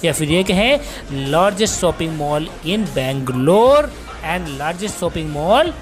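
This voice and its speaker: A man's voice: a little high, very animated, takes it slowly, soft background music. A man giving a chatty walking-tour narration as a travel vlogger, often rephrasing himself as he goes.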